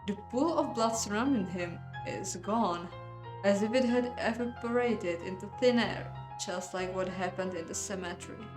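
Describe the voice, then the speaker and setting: A woman's voice: mid-range voice, normal speed, over music. Woman narrating a story in a roomy space. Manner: expressive, breaks off to comment aloud. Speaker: host reading a visual novel playthrough. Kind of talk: dramatic story narration with playful reactions.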